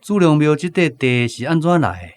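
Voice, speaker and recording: A man's voice: on the high side, sing-song, moderate pace. A man playing an attentive grown son talking with his old mother, with a Taiwanese accent, in a radio drama. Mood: attentive, curious.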